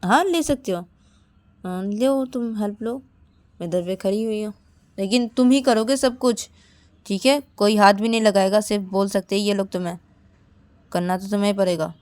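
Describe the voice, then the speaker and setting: A woman voicing a demanding, bossy young girl who talks loudly and insists on getting her way. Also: mid-range voice, fairly even intonation, unhurried, no echo, lightly acted. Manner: loud, insistent, pushy.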